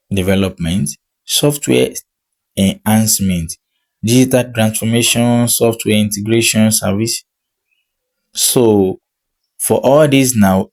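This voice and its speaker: Low-voiced man coaching through a screen tutorial. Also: darkish tone. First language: not English. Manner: repeats "okay?" to check on viewers, reads screen text aloud. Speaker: online money coach.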